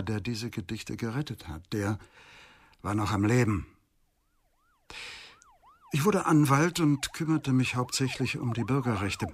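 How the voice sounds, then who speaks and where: Weary middle-aged lawyer, husky, thoughtful and halting, for a radio crime play. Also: male voice, fairly low pitch. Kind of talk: German radio crime drama acting.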